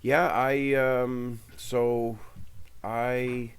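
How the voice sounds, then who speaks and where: A man's voice: mid-range voice, level tone, drawn-out words, creaky, smooth. A man in his late thirties, a guest on a remote interview, talking in a rambling, hesitant way with many ums, over a headset. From Canada's Maritime provinces. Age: 30s. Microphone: headset on a call.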